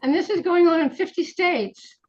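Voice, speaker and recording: A woman talking casually in a group conversation, heard through dull, low-fidelity audio. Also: normal speed, darkish tone.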